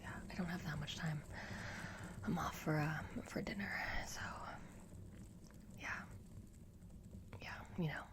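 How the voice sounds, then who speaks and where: A woman speaks in a low, breathy whisper, as in an ASMR roleplay. She murmurs gently and without hurry, reassuring the listener in a soft-spoken way. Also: fairly even intonation, a little slow, pauses often. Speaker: ASMR performer.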